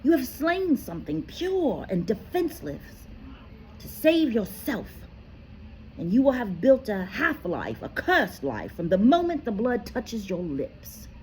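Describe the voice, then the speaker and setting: A woman's voice: a little high, wildly swooping pitch, unhurried, darkish tone, a little hiss or hum, voice pushed up, low-quality recording. A woman reading aloud voices a noble male centaur who speaks solemnly and earnestly.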